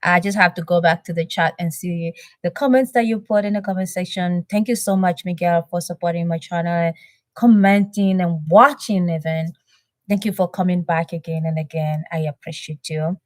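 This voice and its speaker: Low-voiced woman chatting live. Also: steady tone, normal speed, no echo. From Benin. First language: French. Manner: chatty, warm thanks, reads chat aloud with many fillers. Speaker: reaction channel host. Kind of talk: live-stream chat with viewers.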